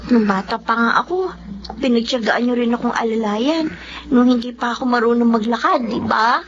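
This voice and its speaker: Actress as high-voiced, devoted Filipino daughter. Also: female voice, normal speed, slight background noise, lightly acted. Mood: tender, devoted. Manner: gentle, coaxing, earnest. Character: devoted, tender young daughter caring for sick father. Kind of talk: Tagalog radio drama acting. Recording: muffled radio drama audio, faint music.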